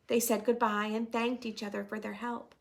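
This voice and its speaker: A woman's voice: fairly high pitch, little pitch movement, moderate pace, creaky. A woman reading a storybook aloud to young children, expressively, with chatty, questioning asides to her listeners. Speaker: children's story reader and host.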